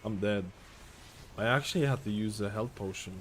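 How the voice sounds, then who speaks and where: A man's voice: lowish voice, normal speed, a little hiss or hum. A young man giving casual, joking gameplay commentary, rambling and swearing as he plays. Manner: rambling, joking, swears and says bro a lot. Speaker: game streamer.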